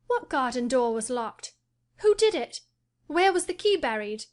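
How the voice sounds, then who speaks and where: Lady doing a high little sick boy voice, bossy and fretful. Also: lively intonation, moderate pace, a bit bright. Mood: eager. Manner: demanding, cross and indifferent. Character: sickly spoiled ten-year-old boy, fretful and imperious. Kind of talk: audiobook character voice.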